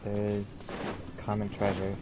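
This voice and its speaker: A man giving casual, low-key, halting commentary as he plays a video game. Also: monotone. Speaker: gamer. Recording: loud game noise behind the voice. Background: video game audio.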